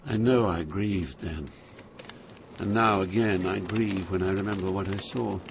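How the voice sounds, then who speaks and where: An actor playing a sorrowful poet recounting a journey through Hell in measured, dramatic scripted narration. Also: male voice, sits low, steady tone, noisy background. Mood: solemn, haunted.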